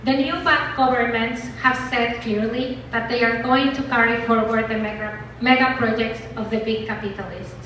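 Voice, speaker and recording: A woman's voice: on the high side, fairly bright tone. A woman giving an earnest, declamatory dramatic reading of an activist letter at a rally. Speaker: reader at a rally event. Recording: echoey hall from far off, faint noise.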